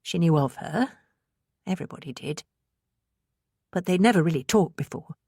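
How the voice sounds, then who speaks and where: A woman's voice: on the low side, lively intonation, normal speed, darkish tone, husky, no echo, clean studio sound. A woman reading scripted suspense fiction as an audiobook narrator.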